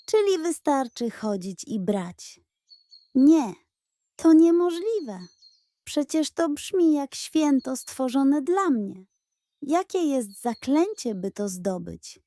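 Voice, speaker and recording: A woman voicing a greedy, lazy male pug dog who gets indignant and whiny in a high, overexcited voice. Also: very animated, slow, mellow, no room sound, heavily acted. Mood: eager.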